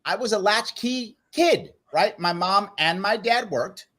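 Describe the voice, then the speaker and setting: A middle-aged man from the Bronx, hosting a live panel discussion in an animated, interjecting way with repeated phrases for emphasis. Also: high voice, measured pace. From New York City, the Bronx. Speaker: panel show host.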